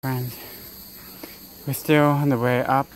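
A travel vlogger talks casually and slowly in short remarks, at a middling pitch. The voice is caught on a handheld recorder outdoors, with clear ambient noise and insects around it. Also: flat delivery, poor audio. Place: outdoors on a mountain temple stairway and summit. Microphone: handheld phone or camera held by speaker.

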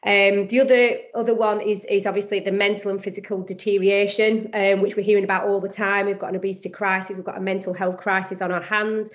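A woman with a strong Lancashire accent speaks quickly and upbeat, full of ums and restarts. Her pitch stays fairly even and flat. She talks into a headset on a slightly dull, lo-fi video call. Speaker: guest speaker. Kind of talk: online panel presentation.

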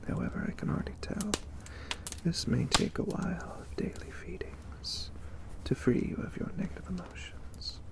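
A man voicing a gentle, courtly vampire catboy in a breathy, soothing ASMR roleplay, formal in his wording. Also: sits high, expressive. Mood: hungry, gentle. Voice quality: breathy whisper. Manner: slow, hushed, formal and soothing. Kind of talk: soft whispered ASMR roleplay.

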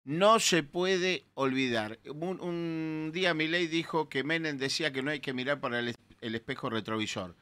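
A bright-toned man in his sixties speaks with a Rioplatense Argentine accent, slightly high for his age. He argues in an emphatic, indignant way, pressing his points on a radio panel. His voice comes close on a studio microphone. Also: moderate pace, few pauses, clean tone. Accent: Rioplatense Spanish. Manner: emphatic, indignant, gestures while talking. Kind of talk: opinionated radio talk. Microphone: studio mic with headphones.

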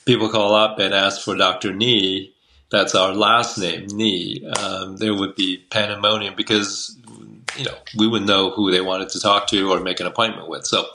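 A man's voice: on the low side, little pitch movement, normal speed, bright, forceful tone, slight background noise, poor audio. A man in his sixties from Taiwan, a doctor as the guest, talking casually over a video call from home with many fillers and restarts. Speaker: guest, Chinese medicine doctor. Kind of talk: casual interview talk. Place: home room by a window. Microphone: video call webcam.

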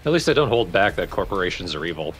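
A man's voice: low voice, big pitch swings, fast talker, a bit bright, faint music behind. A man chatting casually as a co-commentator on a video game playthrough. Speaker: let's play co-commentator.

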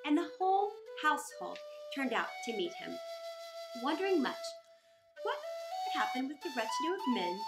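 A middle-aged woman tells a children's story in a high voice that swoops expressively up and down. She phrases deliberately and theatrically, leaving dramatic pauses, with music behind her. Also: sing-song, poor audio. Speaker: children's storytime reader and host.

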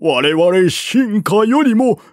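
A man's voice: high-pitched, animated, extremely slow, no echo, clean studio sound, voice pushed up, exaggerated voice. A man voicing a timid old retainer in a storybook, pleading with his lord in formal, humble, earnest speech.

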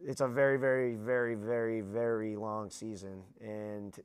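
A man's voice: lowish voice, level tone, measured pace, clean tone. A man in his thirties giving blunt, emphatic opinions as a guest on a studio sports show. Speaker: guest commentator. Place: small studio with dark backdrop.

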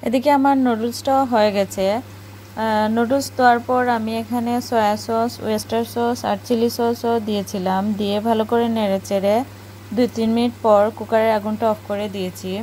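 Higher-voiced Bangladeshi woman narrating a vlog. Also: fairly even intonation, normal speed, mellow, poor audio. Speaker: home cooking vlogger. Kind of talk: casual vlog voice-over narration. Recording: clear background noise, home recording.

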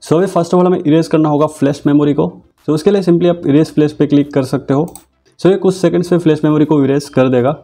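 Mellow-voiced man explaining a tutorial. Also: fairly high pitch, brisk, faint music behind. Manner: steady step-by-step explaining, mixing English terms. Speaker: tech tutorial host. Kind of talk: screen-recorded tutorial voice-over.

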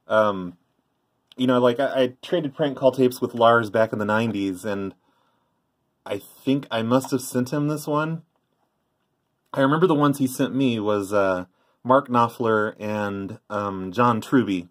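Low-voiced man, rambling casually. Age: middle-aged. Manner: rambling, offhand. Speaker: vlogger, former prank call tape trader. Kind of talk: casual show-and-tell commentary. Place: indoors by a tape deck.

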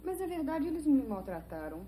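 A young woman acting a gentle heroine in a Brazilian telenovela. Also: sits high, sing-song, quick pace, a little hiss or hum. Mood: earnest. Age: young adult. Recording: old TV soundtrack with faint music.

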